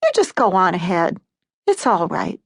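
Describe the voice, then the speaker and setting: A woman's voice: on the low side, very animated, normal speed, slightly rough, dry recording, studio quality, full character voice. A woman voicing a stubborn, spirited seventy-nine-year-old wife who speaks firmly and patiently in a storybook reading. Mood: tender. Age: older. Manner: firm, patient, rising to calls through a door.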